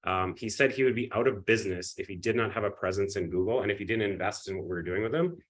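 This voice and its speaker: A man with a cold, sniffling and coughing, giving an energetic live webinar pitch and often checking in with his audience. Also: fast, low-quality recording. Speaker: webinar presenter.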